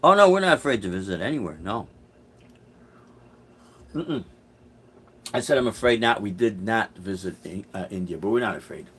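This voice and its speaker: Middle-aged chicago guy rambling on a live stream, lowish voice, lots of ums and restarts. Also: animated, moderate pace, long pauses, a bit bright, husky. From Chicago, USA. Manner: rambling, hesitant, many restarts and fillers. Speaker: musician hosting a live stream. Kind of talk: casual live-stream chat, answering viewer comments.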